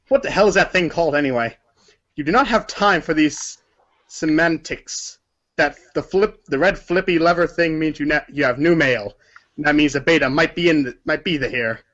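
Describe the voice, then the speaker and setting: A man's voice: fairly high pitch, moderate pace, a bit bright, highs cut off. A man narrating a story aloud as if from a storybook, sometimes stumbling over words and correcting himself. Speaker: narrator of a group readers' theater.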